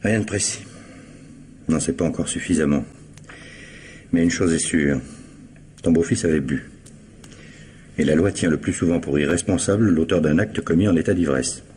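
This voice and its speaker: An actor playing a calm, firm middle-aged police detective with a measured, ironic authority in a French mystery radio drama. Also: male voice, extremely low pitch. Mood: probing, composed. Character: calm, firm male police detective, a worried woman's ex-husband. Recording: noisy vintage radio drama recording.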